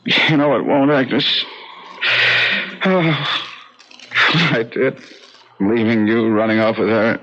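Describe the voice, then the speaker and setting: An actor playing a distraught, worn-out husband in an old-time radio drama. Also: male voice, medium pitch, slow, pauses often, a bit bright, lo-fi sound. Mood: weary, broken. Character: distraught, guilt-ridden husband, worn out and desperate.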